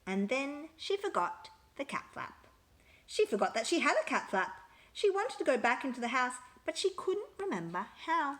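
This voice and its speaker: A woman reading a children's picture book aloud with expressive, emphatic storytelling. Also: sits high, normal speed.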